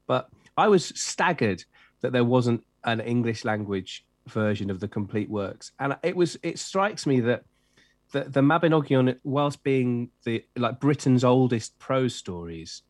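A man's voice: medium pitch, animated, unhurried, dry recording, clean studio sound. A middle-aged man who grew up in Wales, an author chatting enthusiastically in an interview with lots of fillers and restarts. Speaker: children's author and editor. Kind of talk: interview chat.

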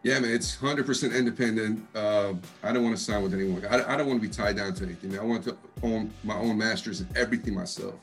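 A man from Texas with a deep voice and an American accent with an East Coast touch, chatting casually in a slangy, easygoing, jokey way. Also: fairly even intonation, fast talker, hardly any pauses, soft background music. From Texas, United States. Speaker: hip hop artist, interview guest. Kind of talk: casual chat.

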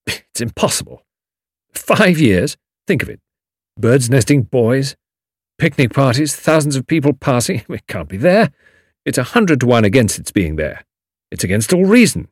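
A man's voice: medium pitch, very animated, normal speed, husky, dry recording, clean studio sound, voice pushed up. A man voicing an uneasy but eager young British adventurer, clipped and understated, in an audiobook story. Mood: agonized disbelief.

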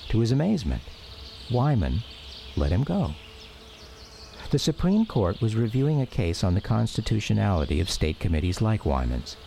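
A man's voice: on the low side, very animated, moderate pace, creaky, dark tone, slight background noise. A man performing as a reflective grown son, narrating a scripted radio drama about his father. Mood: measured reflective.

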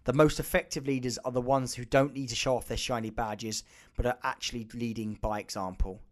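A man's voice: medium pitch, steady tone, fairly quick. A man hosting a podcast, reading a scripted intro, then chatting casually with a guest, laughing and restarting his sentences often. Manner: upbeat, laughing, many fillers and restarts in conversation. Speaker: podcast host.

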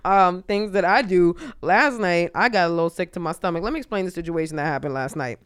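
A woman with a New York accent and a deep voice, telling stories in a casual chat and acting out voices. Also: fairly quick, clear, resonant tone. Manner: animated storyteller acting out voices. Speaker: comedian, podcast host.

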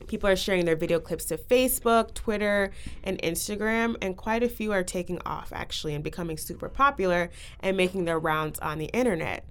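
A woman's voice: fairly low pitch, brisk, clear, resonant tone. A young woman hosting a casual podcast, talking with guests and presenting trends. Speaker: podcast host.

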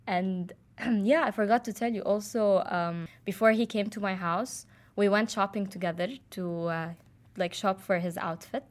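A woman's voice: mid-range voice, steady tone, normal speed. A young woman from Jordan, casually telling a personal story with many fillers and restarts. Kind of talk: casual chat.

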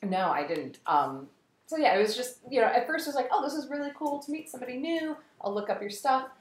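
Frau als Podcastgast, erzählt animiert und spielt Zitate nach, halliger Raum. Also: female voice, high-pitched, fast talker, echoey room. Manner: animated storytelling with quoted voices. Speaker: podcast guest. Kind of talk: casual chat.

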